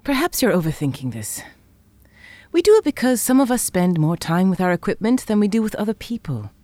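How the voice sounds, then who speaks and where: Actress as girlfriend in an audio drama, wry n teasing, super lively pitch, dry sound. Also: fairly quick, no echo, lightly acted. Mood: playful. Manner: wry, playful. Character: teasing, skeptical girlfriend, a chocolatier.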